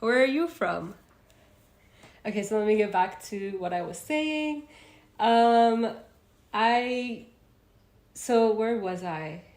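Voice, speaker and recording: A woman's voice: fairly high pitch, expressive, takes it slowly, long pauses, a little mellow. A young woman from Egypt hosting a casual self-help livestream, talking loosely with fillers and stopping to answer viewer comments. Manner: chatty, reads out viewer comments, frequent fillers. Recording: roomy echo.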